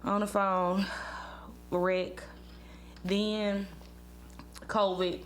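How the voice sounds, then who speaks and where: A woman in her late twenties hosts a casual chat in a medium-pitched voice. She is informal and playfully teasing as she banters and tells stories. The recording carries clear background noise and a hum. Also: level tone, very slow. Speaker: podcast host. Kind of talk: casual couple banter and storytelling.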